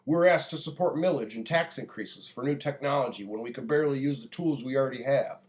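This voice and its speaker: An American man speaks as if giving a public address. His delivery is deliberate and declarative, with an earnest, preachy tone suited to a prepared monologue. His voice sits at a middling pitch. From United States.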